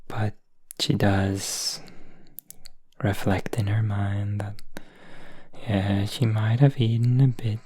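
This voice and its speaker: A man narrates a gentle story in a soft ASMR whisper, very slow and unhurried. His voice is breathy and husky, close to the mic with a completely dry sound. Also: on the low side, flat delivery, no room sound.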